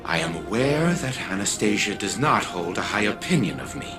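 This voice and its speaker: An actor playing an arrogant old scientist turned artificial mind in a video game, formal and condescending. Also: male voice, fairly low pitch, lively intonation, moderate pace. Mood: grave, imperious. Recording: loud music under the voice.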